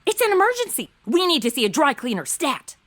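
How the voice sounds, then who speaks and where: A woman's voice: sits high, very animated, fairly quick, fairly bright tone. An actress playing a brash, ditzy modern young woman in a comedic audio drama, talking in blunt, slangy valley-girl phrases. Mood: indignant, pushy.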